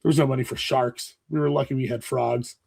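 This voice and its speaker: Gravelly man sick with a cough. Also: medium pitch, fairly even intonation, a little fast, darkish tone. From Rhode Island, USA. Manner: joking, explains at length. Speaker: podcast co-host. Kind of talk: casual chat.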